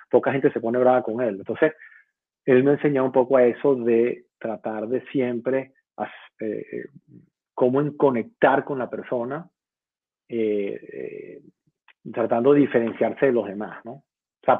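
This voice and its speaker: A man speaking reflectively in conversation, halting often with fillers. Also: mid-range voice, husky, no room sound. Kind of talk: casual conversation. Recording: tinny phone-like line.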